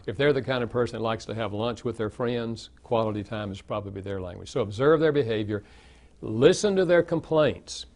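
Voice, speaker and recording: An older man with a Southern American accent, an author answering interview questions in a steady, explanatory way. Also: medium pitch, fairly quick. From Southern United States. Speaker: author and guest. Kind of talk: interview conversation.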